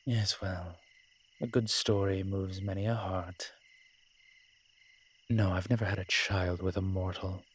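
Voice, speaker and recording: A man playing a sly, worldly incubus demon in an audio roleplay, husky and sardonic, talking in an unhurried, conversational way. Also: low-pitched, little pitch movement. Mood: sly, teasing. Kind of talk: dramatic character roleplay acting.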